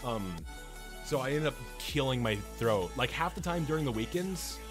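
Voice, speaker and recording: A man's voice: medium pitch, expressive, moderate pace, over music. A young man streaming games, chatting casually and rambling with his viewers, his throat strained and his voice wearing out. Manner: rambling, restarts often, talks loud and a lot. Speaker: game streamer. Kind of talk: casual chat with live chat viewers.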